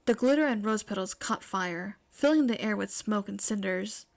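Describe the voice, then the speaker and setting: A woman's voice: medium pitch, moderate pace, gravelly. A woman reading scripted fiction aloud as the narrator of an amateur audio story. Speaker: podfic narrator.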